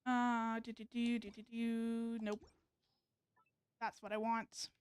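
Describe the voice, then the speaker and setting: A woman's voice: a little high, level tone, slow pace, darkish tone, clean tone. A woman live streamer chatting casually to viewers while she plays a game, hesitant and thinking aloud as she goes.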